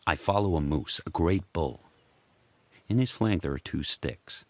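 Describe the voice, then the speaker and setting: A very low, unhurried voice, close and dry, belongs to a powerful dog going feral. He narrates a radio drama in short, primal, deliberate phrases, solitary and wild. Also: lively intonation, darkish tone, no room sound, exaggerated voice. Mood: grim, primal. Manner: terse, measured, deliberate. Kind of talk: first-person radio drama narration in short present-tense sentences.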